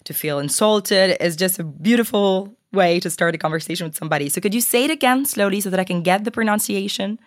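A woman's voice: medium pitch, fast, hardly any pauses, dry recording, clean studio sound. A woman hosting a podcast interview in a chatty, partly scripted style, a bit sick. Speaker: podcast host.

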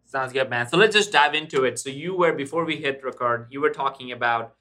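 A man's voice: medium pitch, fairly quick, bright, forceful tone. A man hosting a podcast interview in a casual, informal, chatty way. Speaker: podcast host.

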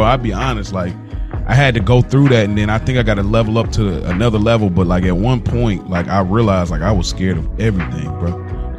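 A man co-hosting a casual podcast, talking informally with lots of slang and repeating phrases for emphasis. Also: lowish voice. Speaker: podcast co-host.

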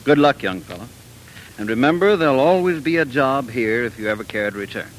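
A man's voice: expressive, fairly quick, close to an everyday voice, low-quality recording. A man playing a jovial, fatherly older bandmaster, teasing and courteous, in 1940s radio drama style. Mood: kindly. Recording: old radio broadcast with rumble.

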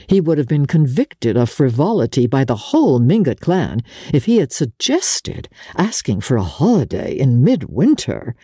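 A woman giving a dramatic reading of literary fiction as an audiobook narrator, with measured, expressive and deliberate phrasing. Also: extremely low pitch, husky, no room sound, clean studio sound.

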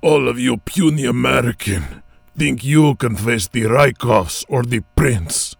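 A man voicing a male wrestler who puts on a raspy, hammy Russian accent as a villain. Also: medium pitch, measured pace, no echo. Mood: menacing. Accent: put-on Russian.

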